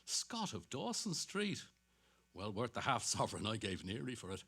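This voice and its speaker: A man's voice: on the low side, very animated, normal speed, fairly bright tone, slightly rough, exaggerated voice. An actor playing a vain, dapper middle-aged salesman who chatters to himself in a dramatic stage reading. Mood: smug. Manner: self-satisfied, muttering to himself between hearty greetings.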